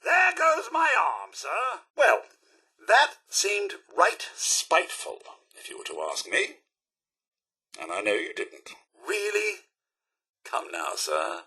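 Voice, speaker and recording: High-pitched, fussy British robot butler. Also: male voice, huge pitch swings, slow, pauses often, bright, forceful tone, breathy edge, heavily acted. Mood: chirpy, indignant. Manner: prim, theatrical, clipped politeness. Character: fussy, polite, prim male robot butler. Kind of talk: video game character voice lines.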